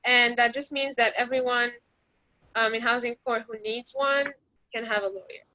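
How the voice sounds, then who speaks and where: A woman with a high, bright voice co-presents a housing rights workshop and drops in sarcastic asides. Her voice is dry and close, with no room around it. The call audio is a little dull. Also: fairly even intonation, normal speed, no room sound.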